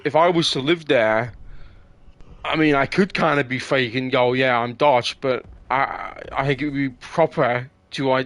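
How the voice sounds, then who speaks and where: A man's voice: medium pitch, fairly bright tone, no echo. A man from England in casual, argumentative chat, swearing and often repeating words as he makes his point.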